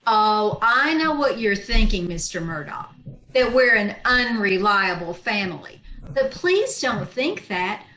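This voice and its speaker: A woman playing a wry, scheming schoolteacher with dry deadpan quips in an old-time radio comedy. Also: mid-range voice, a little slow. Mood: mock innocent. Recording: roomy, echoing video-call recording.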